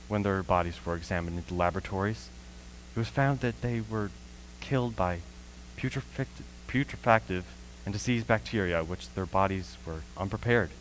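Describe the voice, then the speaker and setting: Guy playing a grave professor type survivor in a radio play, really low voice, slow dramatic emphasis, bit of hum behind. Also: lightly acted, rough recording. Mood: grave, intense. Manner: solemn, deliberate, with dramatic emphasis. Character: solemn male scholar recounting surviving a Martian invasion. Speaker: actor in a school radio play. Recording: faint hum and noise, live stream.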